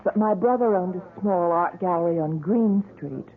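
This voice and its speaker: A woman's voice: lowish voice, moderate pace, lightly acted. An actress playing a young woman who hesitantly but persistently asks to see a closed exhibit. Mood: earnest. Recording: tinny 1970s radio broadcast.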